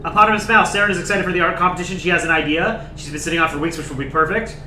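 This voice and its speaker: Bright-voiced man hosting a stream. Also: on the high side, very fast, echoey room, slight background noise. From United States. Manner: relaxed, rambling, thanks viewers by name. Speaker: livestream host. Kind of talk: casual chat.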